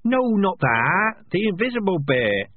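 Brief: a man putting on an inquisitive young rat, high and squeaky, dry tinny audio. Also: big pitch swings, measured pace, dry recording, exaggerated voice. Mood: wondering. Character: earnest curious young male rat. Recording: dry, tinny phone-like sound.

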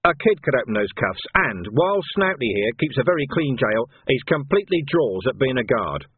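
Shrewd, assertive policeman played by a male reader, rough-edged husky voice, lively pitch, audiobook. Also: moderate pace, no echo, narrow, tinny audio. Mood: defiant. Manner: blunt, assertive. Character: gruff, defiant, shrewd policeman taking command. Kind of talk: audiobook character acting.

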